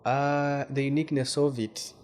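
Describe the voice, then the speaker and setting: A Ugandan man from the west of the country talks as an interview guest at a middle pitch. He is thoughtful and restarts phrases as he searches for words. A faint hiss sits under the voice. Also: little pitch movement, normal speed, darkish tone. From Western Uganda. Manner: thoughtful, repeats words while searching for them. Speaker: guest journalist. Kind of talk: casual interview talk.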